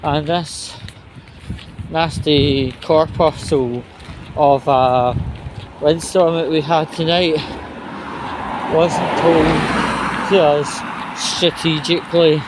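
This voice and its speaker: A man giving a casual, halting and blunt walk-and-talk monologue as a vlogger, grumbling with mild swearing. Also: fairly high pitch, fairly even intonation. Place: outdoors, walking at night. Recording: clear outdoor ambience and vehicle noise.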